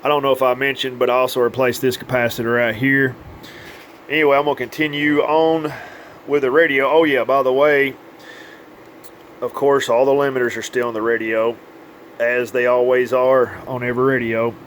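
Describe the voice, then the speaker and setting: A man's voice: medium pitch, little pitch movement, moderate pace, slight background noise. A man giving an informal, hands-on update as a radio repair technician, with plain, folksy phrasing. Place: indoors at a repair workbench.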